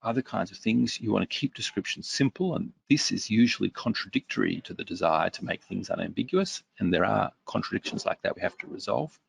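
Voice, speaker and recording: A man leads a workshop-style webinar, picking up viewer questions and talking them through in a relaxed, conversational way. His voice is deeply gravelly and a little husky, with a lively pitch that rises and falls often. The recording is dry and close to the mic. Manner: reads out viewer comments and responds conversationally with fillers. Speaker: webinar presenter.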